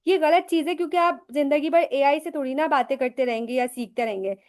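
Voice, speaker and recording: A woman with a high, light voice hosts a live language lesson. She talks casually, asks herself questions and answers them, and slips English phrases into her explanations. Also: fairly quick, a little mellow. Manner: chatty, explains with rhetorical questions and personal examples. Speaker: English teacher hosting a live session. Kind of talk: casual live teaching talk.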